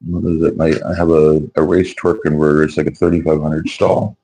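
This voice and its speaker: A man with a very low voice that has gone hoarse, talking casually to his vlog audience. He rambles along easily and restarts his sentences. A faint hiss sits under his wireless clip-on mic. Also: little pitch movement, brisk, dark tone, rough recording. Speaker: YouTuber and car builder.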